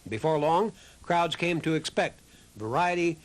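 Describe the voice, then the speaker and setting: A man's voice: on the high side, animated, a little slow. An American man reading scripted documentary narration. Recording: music under the voice.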